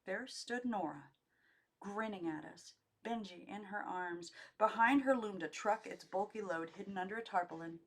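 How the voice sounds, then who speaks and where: A woman narrating a memoir as an amateur audiobook reader, with expressive, emphatic storytelling phrasing. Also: mid-range voice, normal speed.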